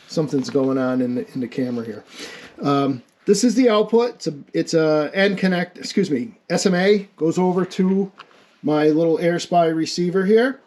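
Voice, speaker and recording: A man's voice: fairly high pitch, a little mellow. A man giving an informal hands-on technical explanation as a hobbyist, thinking aloud in a casual, self-deprecating way. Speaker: amateur radio experimenter demonstrating a homemade setup.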